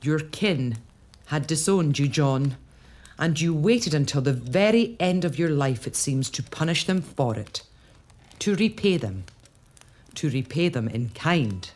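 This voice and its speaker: An actress playing a noblewoman who reads old letters and speaks reproachfully to a dead cousin. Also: low-pitched. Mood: earnest. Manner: measured, expressive reading of old documents. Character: Scottish noblewoman, searching and reproachful. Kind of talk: dramatic narration and monologue.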